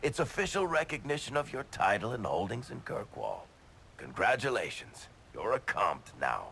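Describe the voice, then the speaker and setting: An actor playing a middle-aged roguish dwarf in a video game, wry and offhand with a gravelly voice. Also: male voice, fairly low pitch, very animated, normal speed, pushed and bright, slight background noise, exaggerated voice. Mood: wry, pleased. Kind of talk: video game dialogue acting.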